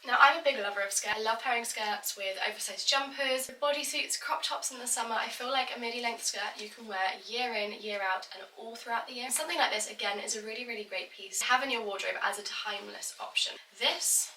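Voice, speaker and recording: A woman's voice: fairly high pitch, brisk, hardly any pauses, bright, forceful tone, a little hiss or hum. A woman, still sounding stuffy from a cold, chatting effusively while unboxing sponsored clothes for her vlog. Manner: gushing, effusive. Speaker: vlogger. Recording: echoey room, voice from a distance.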